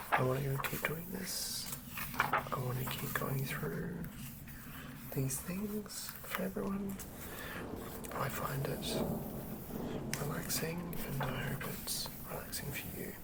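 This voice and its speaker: An ASMR host speaking in a soft, breathy whisper, gently and quietly sharing tabletop fantasy material. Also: mid-range voice, poor audio. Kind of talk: whispered ASMR, chatting and reading aloud from a game manual.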